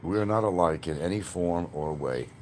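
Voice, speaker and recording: A man's voice: sits low, moderate pace, soft background music. A man hosting a rambling livestream outdoors by a field, answering comments in a blunt, combative way. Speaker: livestream host.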